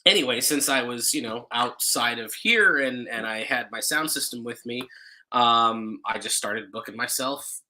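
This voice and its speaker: A man in his thirties with a strikingly bright, forceful voice at a middling pitch. He tells stories casually and emphatically, like a talkative guest on a home webcast. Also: moderate pace, rough recording. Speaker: guest, singer and performer. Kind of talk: casual chat, storytelling. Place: home room, indoors.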